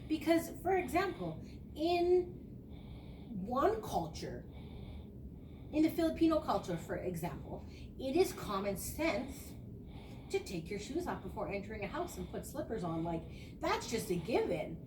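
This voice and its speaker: A woman's voice: a little high, big pitch swings, a little slow. A young adult woman, a student teacher, giving an earnest, conversational spoken reflection and explaining her ideas. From small rural town. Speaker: education student. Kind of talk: spoken reflective class presentation. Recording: echoey room, distant mic, noisy.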